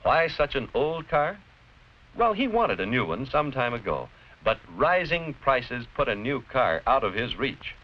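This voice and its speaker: An American man giving scripted educational narration in a deliberate, clearly explanatory, didactic manner. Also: mid-range voice, very animated. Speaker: narrator-presenter of an educational film. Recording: dull, noisy vintage educational film soundtrack.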